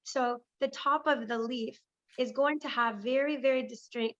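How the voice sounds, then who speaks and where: A woman recovering from a lost voice, so it squeaks now and then, speaking enthusiastically and off the cuff on a conference panel over a video call. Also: fairly bright tone, dull audio. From Canada. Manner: enthusiastic, chatty, improvising. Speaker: panelist, pest management business owner.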